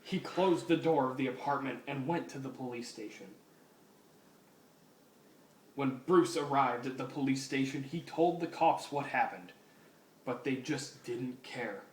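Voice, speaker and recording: A man's voice: medium pitch, measured pace. A man giving a mock-serious, comedic dramatic reading of a story as its narrator. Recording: echoey room, distant mic, faint hiss.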